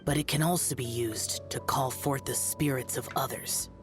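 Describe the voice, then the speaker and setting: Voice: mid-range voice, fairly even intonation, normal speed, over music, lightly acted. An actor playing a stern, aloof, youthful demon-slaying guardian in a video game, solemn and formal. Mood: solemn, instructive.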